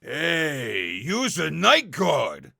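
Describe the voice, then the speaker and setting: Cocky ny mobster fish for a game, super gravelly, slow and swinging. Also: male voice, a little high, huge pitch swings, bright, forceful tone, no echo, studio quality, exaggerated voice. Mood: cocky, menacing. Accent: New York. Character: wisecracking mobster fish gangster, cocky and menacing. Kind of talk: video game character voice lines.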